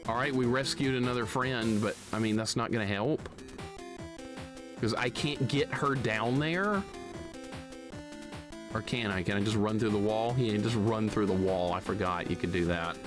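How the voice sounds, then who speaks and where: Male game streamer, loud music behind. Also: fairly low pitch, normal speed, long pauses. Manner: grumbling, sarcastic complaining. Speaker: live streamer playing a video game. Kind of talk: casual gaming commentary.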